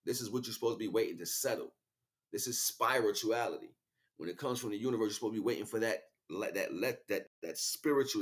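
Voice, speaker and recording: A man improvising a spiritual lecture as a teacher, speaking emphatically, repeating key words and checking in with rhetorical questions. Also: on the low side. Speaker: spiritual teacher and livestream host.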